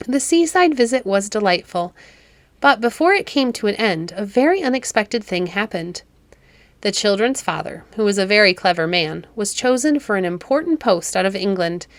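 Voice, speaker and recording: A woman's voice: mid-range voice, animated, moderate pace, dry recording. A woman narrating a classic children's story as a read-aloud, in a dry, close recording. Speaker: storybook narrator and podcast host.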